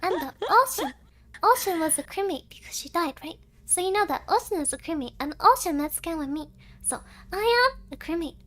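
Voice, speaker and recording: A woman's voice: extremely high pitch, expressive, fairly quick, a bit bright. A woman streamer chatting casually and playfully in a cutesy manner, dropping Japanese words into her English. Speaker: streamer, VTuber.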